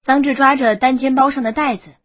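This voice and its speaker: A woman's voice: high voice, steady tone, a little fast, fairly bright tone, no room sound, muffled recording. A woman narrating a romance novel as a scripted audiobook.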